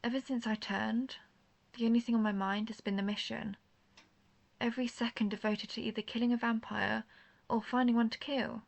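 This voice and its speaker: A woman's voice: a little high, level tone, normal speed, gravelly. A woman playing an intense, guarded vampire hunter, herself a vampire, in a scripted roleplay audio drama, speaking earnestly and formally straight to the listener. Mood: reflective. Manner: earnest, formal phrasing, addressing the listener directly.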